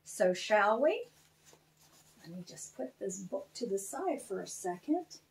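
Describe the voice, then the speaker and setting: Female children's read-aloud host, animated and expressive, unhurried, swooping intonation. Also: medium pitch, gravelly. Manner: animated, expressive, spells out names slowly. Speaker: author reading own children's book aloud. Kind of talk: storytelling read-aloud, chatty asides to young listeners.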